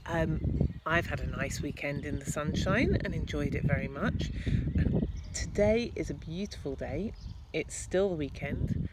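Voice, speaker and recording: A woman reads a storybook to children expressively, adding chatty asides and questions to young listeners. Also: low-pitched. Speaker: primary school staff member reading to children. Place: at home, apparently outdoors in garden. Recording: clear background noise, amateur home recording.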